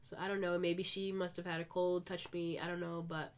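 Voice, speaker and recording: A woman's voice: on the low side, little pitch movement, brisk. A woman hosting a podcast solo with a congested, stuffed-up voice, chatting casually and informally in an upbeat way. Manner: chatty, informal, frequent 'like' and 'you know'; upbeat, positive. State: sick with a cold. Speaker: podcast host.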